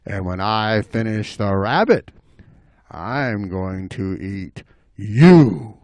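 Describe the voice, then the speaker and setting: A big, menacing bobcat from a children's story, acted out by a man. He speaks very slowly, savoring every word with hungry relish. The recording is close and dry. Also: fairly low pitch, expressive, darkish tone, dry recording, pitched below the performer's own voice. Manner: slow, drawn-out, menacing delivery.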